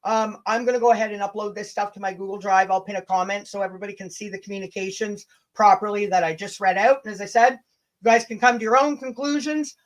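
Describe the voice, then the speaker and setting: A woman's voice: medium pitch, fairly even intonation, quick pace, low-quality recording. A woman hosting a casual livestream rant, blunt, combative and sweary, with chatty asides to her viewers. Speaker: livestream host.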